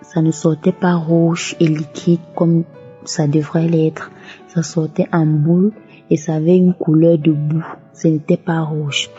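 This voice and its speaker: A woman's voice: low-pitched, flat delivery, a little slow, warm tone, husky. A devout woman giving a religious testimony and offering thanks. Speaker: testimony giver. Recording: muffled lo-fi audio over music. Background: background music.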